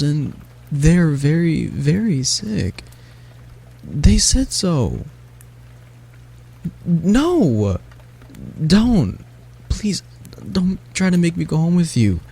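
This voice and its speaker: Sick, scared young catboy for an audio roleplay, voiced by a man: soft, stammering, husky. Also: fairly high pitch, full character voice. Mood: pleading.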